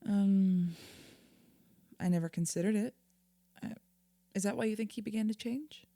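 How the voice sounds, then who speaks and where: A woman acting in an audio drama as a calm, patient therapist, speaking in a measured, reassuring, conversational way. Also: mid-range voice, no room sound, natural voice. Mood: probing.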